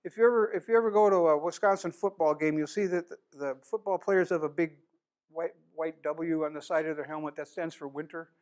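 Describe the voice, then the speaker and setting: A man's voice: on the high side, a little fast, a little mellow, clean tone. A man in his sixties from the United States giving a plain-spoken conference lecture in a classroom, asking the audience questions as he goes. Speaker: beekeeping speaker.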